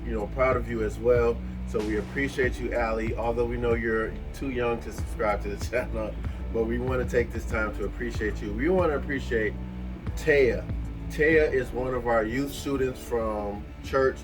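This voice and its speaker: Man, vlog co-host, informal shout-outs, appreciative and heartfelt, mid-range pitch, background music. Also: steady tone, moderate pace, rough recording. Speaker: co-host of a video channel. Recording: music playing behind the voice.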